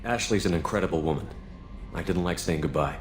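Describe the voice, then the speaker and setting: An actor playing a resolute military commander in a video game, even-tempered and plain-spoken. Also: male voice, sits low, fairly quick, lightly acted. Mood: reflective. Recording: noisy game ambience.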